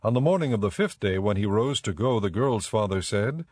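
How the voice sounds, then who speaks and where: A man giving measured, solemn scripted narration for a dramatized Bible audiobook. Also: on the low side, lively intonation, a little mellow, breathy edge, dry recording, studio quality.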